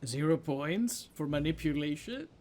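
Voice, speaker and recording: A man's voice: a little high, expressive, unhurried, darkish tone, slight background noise. A man giving casual let's play commentary, informal and thinking aloud as a gamer. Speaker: gamer playing through a game.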